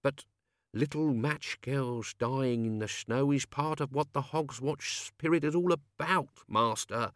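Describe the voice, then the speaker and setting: A man's voice: a little high, animated, normal speed, a bit bright, dry recording, studio quality, pitched above the performer's own voice. A man voicing an anxious, grumbling manservant who pleads deferentially and with exasperation in a storybook reading. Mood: desperate pleading. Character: Albert, anxious, grumbling male servant of Death.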